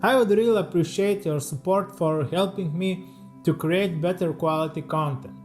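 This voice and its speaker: A man with a fairly high voice and a Lithuanian accent reads a prepared voice-over off a teleprompter. His English is careful and a little halting, the speech of a second-language speaker. Soft music plays faintly underneath. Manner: careful, slightly halting non-native English. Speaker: leathercraft YouTuber. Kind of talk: scripted voice-over read from a teleprompter.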